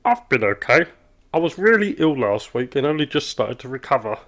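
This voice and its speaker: An actor playing a long-suffering, irritable office worker in an animated comedy, terse and strained in his politeness. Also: medium pitch, a little fast. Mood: forced politeness. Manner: terse, forced politeness, exasperated. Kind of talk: comic animated dialogue.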